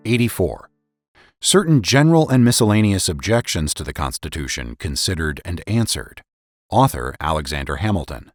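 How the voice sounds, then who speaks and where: Narrator: American man, deep register, animated melody, trained and measured formal delivery for historical readings, music underneath. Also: slightly rough, dry recording. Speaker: podcast host and narrator. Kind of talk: scripted narration and dramatic reading of historical documents.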